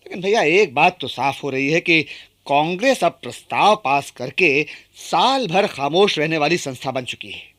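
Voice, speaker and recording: Bright-voiced, thoughtful newspaper man. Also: fairly high pitch, lively intonation, moderate pace. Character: thoughtful, opinionated male newspaper journalist. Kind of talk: radio play dialogue.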